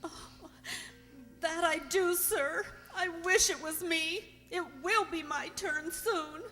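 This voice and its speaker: A woman's voice: very high voice, slow pace, bright, forceful tone, soft background music, full character voice. A woman playing a sad, deferential young English inn maid in an old-time radio drama, with a plaintive manner. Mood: tearful, despairing. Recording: hall-like long reverb.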